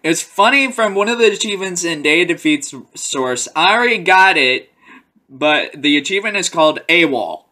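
A man's voice: on the high side, animated, moderate pace, bright, forceful tone. A young man talking casually in a webcam update from a small room, a bit lightheaded and rambling with fillers and giggles. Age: young adult. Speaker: vlogger. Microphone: webcam at close range, headset round neck.

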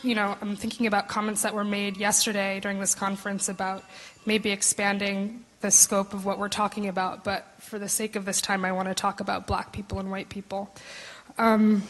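A woman's voice: mid-range voice, little pitch movement, a little fast. A young woman speaking from notes at a podium in a large hall, tentative and thoughtful, with frequent ums and searching phrasing. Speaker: panel discussant. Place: large concert hall stage. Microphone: podium microphone. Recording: long hall reverb.

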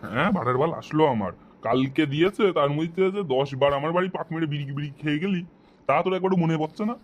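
A man's voice: a little high, quick pace, dull audio, lightly acted. A man voicing an exasperated, sarcastic, foul-mouthed friend in a comic cartoon.

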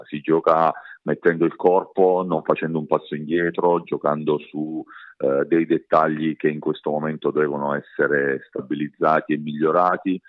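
A man giving measured, hesitant answers as a sports coach in a radio interview. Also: extremely low pitch, dry recording, phone-quality sound. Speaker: basketball coach being interviewed.

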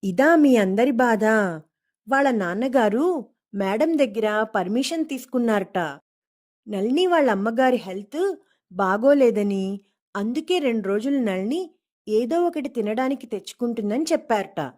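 A woman's voice: on the high side, expressive, a little slow, a little mellow. A woman storyteller voicing a bossy schoolgirl class leader, commanding and scolding, in a children's story.

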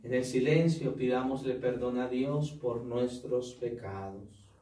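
Priest leading Mass in an echoey chapel. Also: male voice, mid-range voice, warm tone. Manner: measured liturgical recitation. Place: small chapel indoors. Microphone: far camera. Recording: echoey room, distant mic.

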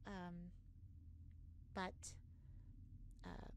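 A woman playing an earnest, easily flustered space ambassador, halting and awkward yet formal, in an ASMR sci-fi roleplay. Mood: awkward, tired. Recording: noticeable background noise.

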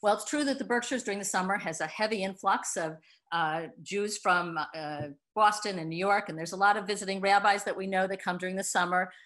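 A woman's voice: mid-range voice, brisk, fairly bright tone. An older woman, a cookbook co-author, presenting a talk earnestly over a video call from home, with frequent fillers. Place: wood-paneled room at home. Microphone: laptop video call.

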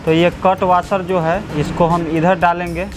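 Male mechanic with a high voice narrating a workshop tutorial, music clearly audible behind him. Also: little pitch movement, moderate pace. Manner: explanatory, guides the viewer step by step. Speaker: motorcycle mechanic. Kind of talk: workshop repair tutorial, narrating over close-up work. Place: motorcycle workshop.

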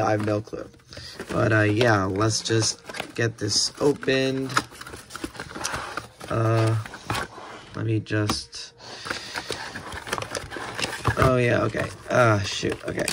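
A man giving casual, unscripted unboxing commentary in a hesitant, rambling way, often correcting himself. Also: on the low side. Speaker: unboxing video host. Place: indoors at a table. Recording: clear background noise, handling clicks.

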